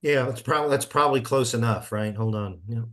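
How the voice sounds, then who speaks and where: A man's voice: medium pitch, moderate pace, a bit bright. A man taking part in a casual planning discussion on a group teleconference. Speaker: meeting participant. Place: remote teleconference call.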